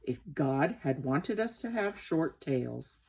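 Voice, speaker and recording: A woman's voice: low-pitched, sing-song, slow, vocal fry, a little mellow, husky, exaggerated voice. Woman voicing an indignant old horse, swooping and emphatic. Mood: scornful. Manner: emphatic, aggrieved, dramatic. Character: old male horse, gentle but indignant.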